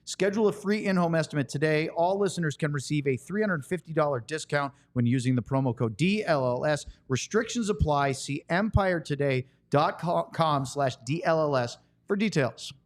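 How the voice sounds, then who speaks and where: A man with a slightly high voice hosts a sports podcast. He delivers in a presenter's style, moving between talk and scripted sponsor reads. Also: animated, moderate pace, few pauses.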